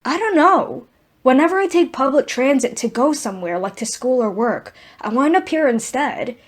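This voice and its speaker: Actress as a high-voiced, exasperated young woman. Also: lively intonation, normal speed. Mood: weary resignation. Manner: flustered, defensive, protesting. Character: anxious, exasperated twenty-year-old woman, reluctant talk-show guest. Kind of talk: radio drama acting.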